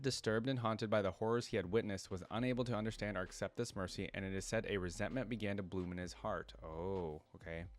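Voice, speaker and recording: Male reaction host, lowish pitch, conversational delivery moving between reading aloud and casual commentary.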